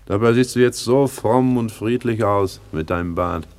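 A man's voice: on the low side, normal speed, darkish tone, close to an everyday voice. An actor playing a slick, self-important small-time crook turned businessman, pompous and self-satisfied, in a radio play. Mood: smug. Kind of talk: 1960s German radio play acting.

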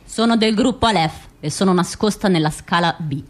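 An actress voices a female assassin in a radio drama. Her voice sits a little low, and she reports each step tersely and matter-of-factly in the present tense. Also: a little fast, close to an everyday voice. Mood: cold, watchful.

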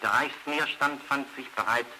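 A man's voice: medium pitch, normal speed, pushed and bright. A man announcing formally and declamatorily in the style of a 1930s radio report. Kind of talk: 1930s German radio report, scripted narration. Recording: noisy old archival radio recording.

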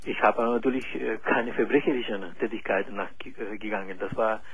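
A man in his forties from Chile, calling in to a radio show and speaking haltingly with many pauses and fillers. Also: lowish voice, steady tone, a bit bright, husky, no echo. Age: 40s. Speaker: caller. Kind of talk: call-in radio conversation.

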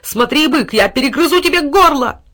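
Storybook dog, devoted and combative, played by a woman with bright, high, fervent shouts. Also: normal speed. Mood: defiant, fervent. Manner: excited shouting, fervent exclamations. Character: loyal, fierce, devoted male dog defending his master.

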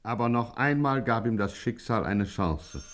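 Mock-ominous, mid-pitched radio narrator. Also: male voice, animated, a bit bright. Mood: portentous. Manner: deliberate, portentous. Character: solemn, mock-ominous crime story narrator. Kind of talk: scripted 1950s German radio narration.

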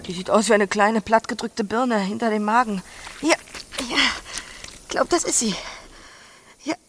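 A woman acting a blunt, earthy, solitary farmer in a naturalistic radio drama, with a husky voice. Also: on the high side, moderate pace, a little hiss or hum, close to an everyday voice. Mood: matter-of-fact.

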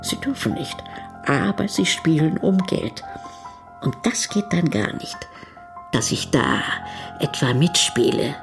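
A woman's voice: sits low, very animated, takes it slowly, gravelly, music in the background, exaggerated voice. A woman playing a candid older retired wife in a radio documentary play, plain-spoken and conversational. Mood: wry, resigned. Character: candid older retired wife, a grandmother living between cultures.

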